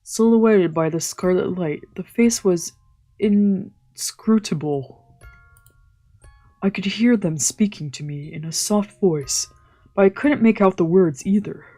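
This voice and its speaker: A mellow, mid-pitched streamer reads a visual novel out loud over faint music. The delivery is gentle storytelling, broken up by casual, musing asides. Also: takes it slowly. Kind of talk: let's play read-aloud narration with casual asides. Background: visual novel background music.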